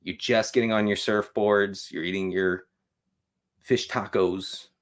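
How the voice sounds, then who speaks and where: An American man of forty talks in a fairly low voice, as the host of a casual live show. He rambles along, doubling back on his phrases and slipping in wry asides at his own expense. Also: fairly even intonation, measured pace. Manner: rambling, repeats himself, wry self-deprecating asides. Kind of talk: casual livestream monologue.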